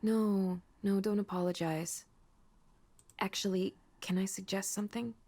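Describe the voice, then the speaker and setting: An actress playing an earnest, kind and self-assured woman in a visual novel, speaking in a natural, gently encouraging conversational way. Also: mid-range voice, fairly even intonation, a little slow.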